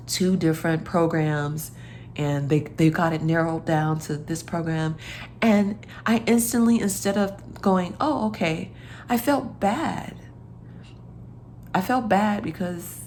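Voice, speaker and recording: A woman in her thirties, a parent talking casually to camera while getting over a cold, sounding congested and thinking out loud with many pauses. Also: low voice, a little hiss or hum. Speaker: vlogger, parent.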